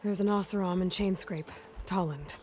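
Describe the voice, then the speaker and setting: A woman's voice: on the low side, normal speed, darkish tone, close to an everyday voice. A woman playing a practical young heroine in a video game cutscene, direct, dry and skeptical in manner.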